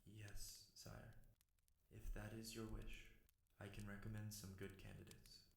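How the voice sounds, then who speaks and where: A man playing a devoted, guilt-ridden knight in a fantasy ASMR roleplay, speaking formally and earnestly with a gravelly voice. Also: lowish voice, flat delivery, measured pace. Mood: contrite, subdued. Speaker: roleplay audio performer. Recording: roomy echo, faint noise, clicks.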